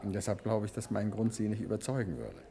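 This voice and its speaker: An actor playing a confident, jovial gentleman detective in a 1950s radio play, brisk and cheerful with his wife, guarded with the police. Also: on the low side, big pitch swings, vocal fry. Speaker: detective, husband.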